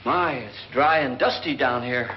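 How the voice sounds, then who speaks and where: An actor playing a haunted, morbid believer in murderous ghosts in a 1950s horror film, speaking in a grave, brooding, ominous way. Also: male voice, mid-range voice, a little slow, slight background noise, close to an everyday voice. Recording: dull old film soundtrack, music behind.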